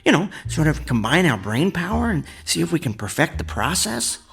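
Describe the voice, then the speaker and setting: Meek eager inventor, higher-pitched voice, lively intonation, hesitant stammer, radio drama. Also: male voice, moderate pace, creaky, breathy edge, full character voice. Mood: eager, friendly. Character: mild, henpecked male amateur inventor, eager and timid.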